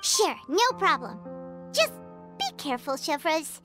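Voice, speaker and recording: A woman voicing a small, excitable, nervous childlike fairy companion in an anime video game. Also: high voice, measured pace, over music. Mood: concerned. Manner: refers to self in third person, exclaiming.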